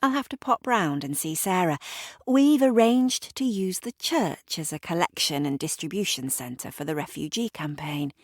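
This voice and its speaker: A woman plays a languid society lady in an audio drama, smug and breezy. Her voice sits low for a woman, with a faintly superior air. The sound is dry. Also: lively intonation, normal speed, no echo. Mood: airily pleased. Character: smooth, self-satisfied, languid society woman.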